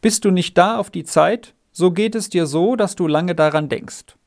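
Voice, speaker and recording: A woman's voice: lowish voice, normal speed, a little mellow, voice pushed up, close to an everyday voice. A woman voicing a strict farmer's wife in a storybook, scolding and commanding in manner. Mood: stern command. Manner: stern, commanding, threatening.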